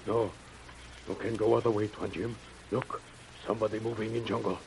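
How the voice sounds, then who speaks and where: A man acting a loyal, fearful native jungle sidekick, speaking halting, deferential broken English in vintage radio adventure style. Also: on the low side, little pitch movement, lightly acted. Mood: anxious, resigned. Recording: noisy vintage radio recording.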